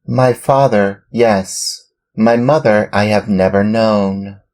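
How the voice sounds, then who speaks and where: A man's voice: on the low side, steady tone, takes it slowly, a little mellow, voice pushed up. A man reading a storybook and voicing an earnest wooden marionette boy with a raised, pleading voice.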